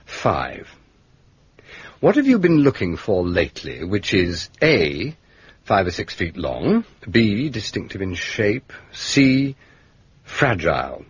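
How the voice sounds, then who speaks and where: A muffled radio-drama recording of a courteous, shrewd English gentleman sleuth. His upper-class voice is lowish and swings expressively in pitch. He is precise, polished and drily wry. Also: huge pitch swings, slow pace, breathy edge, no room sound, heavily acted. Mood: brisk, methodical. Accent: British, upper-class English. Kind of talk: British radio detective drama acting.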